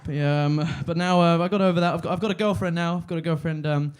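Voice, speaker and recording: A man in his twenties doing a deadpan stand-up set on a comedy club stage, halting with fillers and restarts. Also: on the high side, smooth. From London. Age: 20s.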